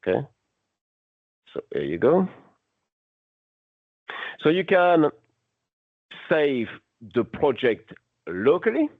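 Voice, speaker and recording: Man, non-native English, instructional software walkthrough, step by step, creaky voice, dry close sound. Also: fairly high pitch, big pitch swings, slow, long pauses, no room sound. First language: not English. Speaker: product demonstrator. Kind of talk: unscripted software demo walkthrough. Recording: narrow phone-like band.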